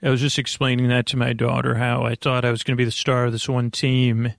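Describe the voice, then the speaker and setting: Male sleep podcast host, creaky and gravelly, mid-range pitch, close dry sound, halting and meandering delivery. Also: little pitch movement, no echo. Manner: meandering, halting, self-deprecating. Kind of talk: rambling, off-topic bedtime monologue.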